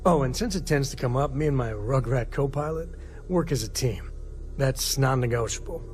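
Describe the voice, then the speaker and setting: A man's voice: mid-range voice, normal speed, darkish tone, faint music behind, lightly acted. A man playing an easygoing, folksy space explorer and single father in a video game. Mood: relaxed. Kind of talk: video game character dialogue.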